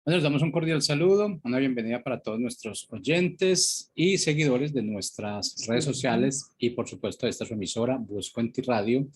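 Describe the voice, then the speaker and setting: A man's voice: mid-range voice, lively intonation, normal speed, hardly any pauses, a little hiss or hum. A man hosting a radio segment in a conversational presenting style. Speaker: radio host.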